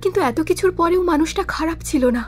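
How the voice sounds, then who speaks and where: A woman's voice: very high voice, brisk, faint music behind. A woman playing an anxious, guilt-ridden witness in an audio drama, pleading and defensive with feeling. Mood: subdued.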